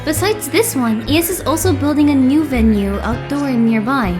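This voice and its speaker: Casting: female actor for eager teenage boy idol, high and very animated, dramatic fanboy energy, anime game dub over music. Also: normal speed. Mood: chatty, informative. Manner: gushing, dramatic. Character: excitable young male idol fanboy, eager, easily alarmed.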